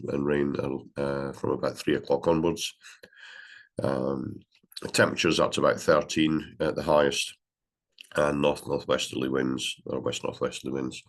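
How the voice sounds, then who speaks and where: Very deep-voiced congested Englishman. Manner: halting, many fillers. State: congested with a cold. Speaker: gliding club briefing presenter. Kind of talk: daily weather briefing.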